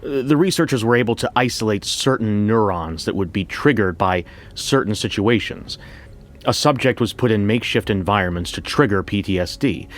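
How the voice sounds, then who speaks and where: An actor playing a wry, reflective bank coordinator who tells his story in the first person, in a measured, conversational way. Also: fairly low pitch, animated, natural voice. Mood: explanatory. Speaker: narrator. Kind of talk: first-person scripted audio fiction narration. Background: music.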